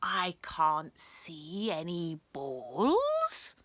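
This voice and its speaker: A woman voicing a pompous, flustered male schoolteacher in a storybook, booming and repeating words frantically. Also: huge pitch swings, extremely slow, fairly bright tone, dry recording, full character voice. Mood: blustering outrage.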